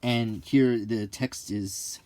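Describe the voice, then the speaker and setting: A man's voice: lowish voice, fairly even intonation, takes it slowly, a little mellow, no room sound. A middle-aged man talking casually and hesitantly in an unscripted, ASMR-like way, musing as he reviews something. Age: 40s to 50s. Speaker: host of a hobby video.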